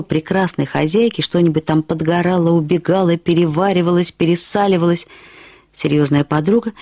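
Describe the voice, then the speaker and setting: A woman reading a literary short story as narrator, with expressive, reflective delivery. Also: low-pitched, breathy edge, no room sound, clean studio sound.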